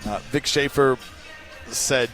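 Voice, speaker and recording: A man working as a play-by-play commentator, breaking down a basketball game in a measured, analytical way. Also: mid-range voice, a bit bright, soft background music. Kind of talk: sports analysis talk.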